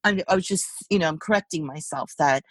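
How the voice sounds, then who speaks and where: A middle-aged woman artist, whose first language is Hungarian, talks reflectively in a podcast interview, animated and full of restarts. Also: low voice, quick pace, slightly rough, no room sound. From United States. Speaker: guest artist.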